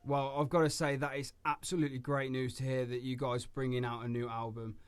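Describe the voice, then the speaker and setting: English host man, smooth voice, halting fan questions. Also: medium pitch, little pitch movement. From England. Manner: hesitant, fan-like, many restarts. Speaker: radio host. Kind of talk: casual interview.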